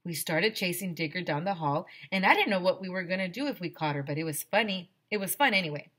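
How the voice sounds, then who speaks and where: A woman's voice: lowish voice, fast talker, fairly bright tone. A middle-aged woman, a teacher reading a children's storybook aloud expressively into a headset mic. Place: small decorated room indoors.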